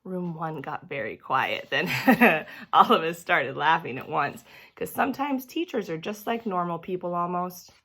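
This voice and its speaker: A woman narrating a children's book aloud in an expressive, theatrical storytelling manner. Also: on the low side, unhurried, husky.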